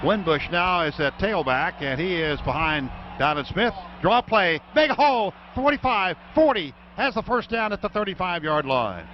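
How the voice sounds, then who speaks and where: High-pitched American football radio announcer, man. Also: sing-song, normal speed, few pauses. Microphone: broadcast booth microphone. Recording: dull radio broadcast, loud stadium background noise.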